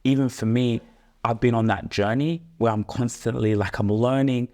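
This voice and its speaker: A man's voice: mid-range voice, steady tone, normal speed, darkish tone. Young man, eager podcast co-host. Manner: enthusiastic, fluent, stacks phrases with filler words. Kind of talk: casual motivational chat.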